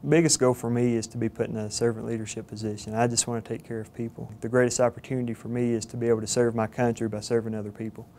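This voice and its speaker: Earnest young Georgia man, flat delivery. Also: medium pitch, slight background noise. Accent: Southern US, Georgia. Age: 20s. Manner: earnest, halting with frequent fillers. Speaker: cadet candidate. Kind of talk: interview testimonial. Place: studio with plain backdrop. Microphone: lapel mic.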